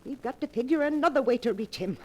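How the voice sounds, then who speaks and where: A woman playing a doting, suspicious elderly lady in a dramatic, deliberate 1940s radio style. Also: high voice, animated, warm tone, lo-fi sound. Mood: grimly certain. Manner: dramatic, deliberate, coos over her pet. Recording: noisy vintage 1940s radio recording.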